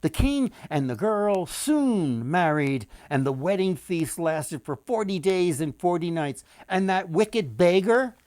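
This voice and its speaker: An older man reading a children's story expressively, with dramatic pauses, addressing his listeners directly. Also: sits high, expressive, darkish tone, no echo. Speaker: author and storyteller.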